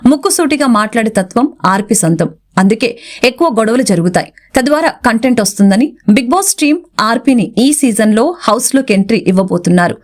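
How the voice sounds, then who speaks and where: A woman's voice: fairly high pitch, expressive, brisk. A woman reading a scripted celebrity news report as a narrator.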